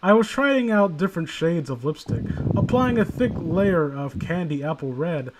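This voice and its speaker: High-pitched irreverent man riffing as he reads. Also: expressive, normal speed, background noise. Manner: irreverent, mocking, breaks into laughter while reading. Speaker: host reading a creepypasta aloud with friends. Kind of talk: comedic read-along with improvised riffing.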